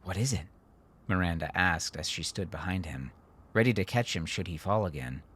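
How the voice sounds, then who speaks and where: A man reading dramatic fiction as an audiobook narrator, with a measured, deliberate delivery. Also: low voice, expressive, fairly bright tone, slight background noise.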